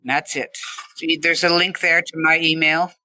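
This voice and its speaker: Woman, crisp bright tone, dry close sound, earnest interview answers. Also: low-pitched, fairly even intonation, a little slow, no echo. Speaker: podcast guest. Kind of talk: reflective interview talk.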